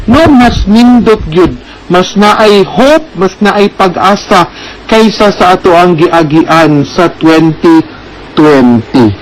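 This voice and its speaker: A man's voice: high voice, slight background noise, low-quality recording. A man with a Cebuano Filipino accent hosting a radio advice programme, talking conversationally to listeners and often checking in with short tag questions.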